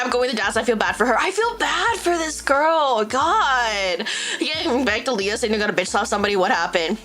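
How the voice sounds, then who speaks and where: A woman streamer chatting loosely and reacting out loud, her voice sitting a bit high and swinging widely as she exclaims. She swears freely and breaks into laughs while reading stories aloud, with faint music underneath. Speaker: VTuber streamer. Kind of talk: casual livestream chat reading stories aloud.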